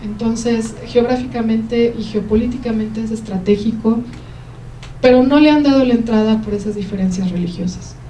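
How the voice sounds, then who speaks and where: A woman with a Mexican Spanish accent giving a university lecture, explaining at length and pausing often with "eh" and "em" as she answers questions. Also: a little high, mellow. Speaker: guest lecturer. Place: lecture hall. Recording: roomy hall, mic at a distance, faint noise.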